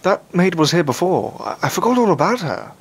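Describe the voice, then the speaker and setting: A man's voice: sits high, very animated, brisk, breathy edge, full character voice. A man acting an uneasy, earnest adventurer in an audio drama, speaking hesitantly. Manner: hesitant, anxious. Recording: faint background noise and ambience.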